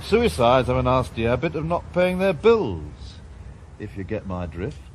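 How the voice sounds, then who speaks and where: A man acting a sardonic Welsh policeman, his voice even and mid-pitched, dropping veiled threats in a dry, amused tone. A faint hiss sits under this old radio play. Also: moderate pace, close to an everyday voice. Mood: menacing. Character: menacing police officer, sardonic. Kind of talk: 1980s radio drama.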